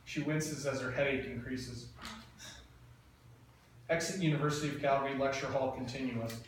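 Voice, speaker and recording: A man's voice: mid-range voice, fairly even intonation, moderate pace. A man reading screenplay scene directions aloud at a table read, plain and matter-of-fact. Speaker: narrator. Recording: echoey room, mic far off, faint noise.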